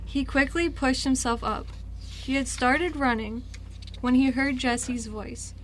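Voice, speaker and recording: A woman's voice: high-pitched, steady tone, takes it slowly. A woman reading scripted story narration for an amateur radio drama. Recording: noisy amateur radio recording.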